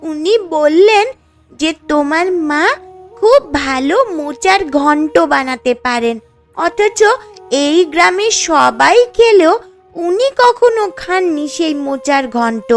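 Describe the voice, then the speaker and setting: A troubled poor village girl in a cartoon tale. She pleads in a high, plaintive voice with slow, hesitant phrasing. Music runs underneath. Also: animated, full character voice. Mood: worried pleading. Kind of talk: cartoon story dialogue.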